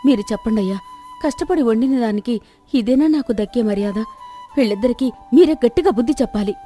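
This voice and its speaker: A woman voicing a village wife who scolds and argues quickly in a fairly high voice. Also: lively intonation, dark tone, soft background music, close to an everyday voice. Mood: scolding. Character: sharp-tongued village wife, defending her cooking.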